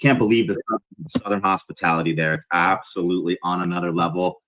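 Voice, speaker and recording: A man's voice: deep voice, steady tone, fast talker, a bit bright. A man chatting casually on a live stream, laid-back and calling people bro. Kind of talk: casual chat. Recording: dull lo-fi call audio.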